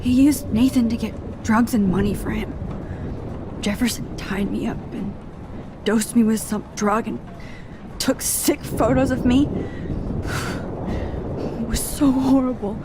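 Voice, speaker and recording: A woman's voice: a little high, fairly even intonation, unhurried, pauses often, close to an everyday voice. Actress as an earnest young woman, noisy storm. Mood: shaken. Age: young adult. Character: earnest, troubled young female photography student. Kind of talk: dramatic video game acting. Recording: storm noise behind.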